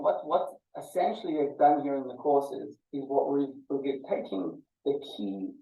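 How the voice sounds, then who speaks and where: A man gives an informal lecture to a small class in a fairly high voice. He restarts and repeats words as he works through his explanation. The sound is thin like a phone line and comes with a strong room echo. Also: far from the mic. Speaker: class teacher. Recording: muffled phone-like audio, echoey, off-mic.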